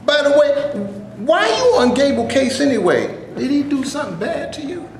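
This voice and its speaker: The sound is heavily echoed with faint noise behind. A man plays a preachy, cautious union man on stage, explaining things colloquially with a lively swing in his pitch. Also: moderate pace, exaggerated voice. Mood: easygoing. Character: frustrated, preachy but cautious male actor, union man. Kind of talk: staged play reading. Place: echoey room before a live audience. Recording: echoey room with noise, live audience recording.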